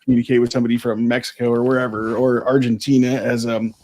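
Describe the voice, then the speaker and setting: A man at a middle pitch speaks in a laid-back, rambling way on a livestream, filling his pauses with ums. He sounds easygoing and conversational. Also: low-quality recording. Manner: relaxed, rambling, with many fillers. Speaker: livestream guest. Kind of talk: casual livestream chat.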